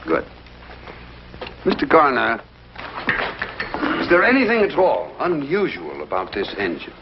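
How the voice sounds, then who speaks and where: An actor playing an inquisitive, authoritative government secretary in an old television drama, speaking formally and deliberately. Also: male voice, medium pitch, very animated. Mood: businesslike, probing. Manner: measured, formal, deliberate questioning. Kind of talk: mid-century American television drama acting. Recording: dull, noisy vintage TV soundtrack.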